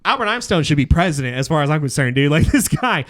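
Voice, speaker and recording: A man's voice: a little high, very animated, fast talker, a bit bright. A man chatting casually on a podcast, telling stories with slangy swearing and acting out bits of dialogue. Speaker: podcast host and comedy show runner. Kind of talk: casual podcast chat, animated storytelling.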